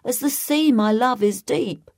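A lonely little storybook creature with an oversized head, voiced by a woman reader pleads earnestly in a warm, mellow tone. The delivery is a touch raised above plain narration. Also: fairly high pitch, measured pace, creaky, voice pushed up. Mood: pleading.

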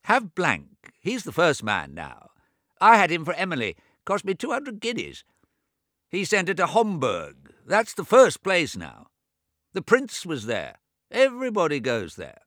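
Brief: a man playing a fussy, money-minded old man near eighty, high thin voice, quick prattle, dry sound. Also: wildly swooping pitch, a bit bright, no room sound, heavily acted. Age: 80s.

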